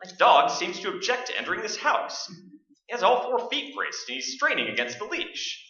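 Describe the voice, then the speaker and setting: A man in a staged radio play, playing a brash, skeptical, excitable radio host narrating a ghost hunt aloud. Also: high voice, normal speed, full character voice. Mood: exasperated. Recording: roomy stage recording, distant, dull.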